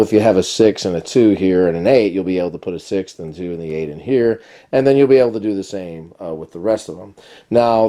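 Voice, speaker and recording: Board game reviewer, male, low and warm, a touch raspy with a cold, casual and chatty. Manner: relaxed, chatty explaining with many fillers. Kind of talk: casual how-to-play review.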